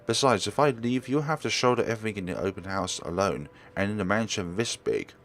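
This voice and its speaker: A plucky young woman from a visual novel, acted by a man, talks in an eager, stubborn way. The voice is low for her, with very lively pitch movement and background noise behind it. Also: a little fast. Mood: insistent. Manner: brushes off concern, eager. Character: Isabel, stubborn, plucky young female real estate agent.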